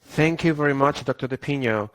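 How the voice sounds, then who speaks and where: A man's voice: medium pitch, a little fast, a bit bright, no echo, poor audio. Polite man moderating a webinar. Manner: polite, with fillers. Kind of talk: reading out audience questions.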